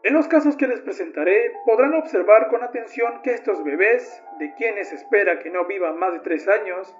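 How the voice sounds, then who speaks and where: High voiced guy narrating from a script, kinda muffled audio w music under it. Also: moderate pace.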